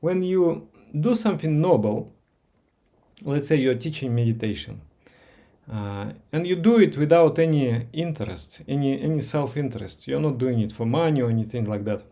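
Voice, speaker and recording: A man whose first language is Russian, teaching meditation in a live session with viewers, speaking slowly and gently with many pauses, fillers and repeated words. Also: medium pitch, animated.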